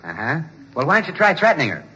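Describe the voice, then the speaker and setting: A man's voice: on the high side, wildly swooping pitch, fast. An American man playing a jovial, relentlessly salesy announcer in 1940s radio comedy, with a hearty, polished delivery. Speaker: announcer and comic sidekick. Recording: muffled, hissy 1940s radio recording.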